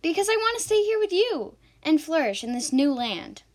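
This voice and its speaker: A woman playing a feisty, exasperated young heroine in an amateur fairy-tale radio drama, sarcastic and excitable. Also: sits high, moderate pace. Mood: excited.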